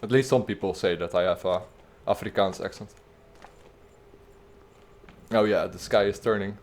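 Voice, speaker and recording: A man from the Netherlands, chatting casually and haltingly while livestreaming a game. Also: fairly low pitch. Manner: relaxed, halting, many fillers. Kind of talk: casual gameplay commentary.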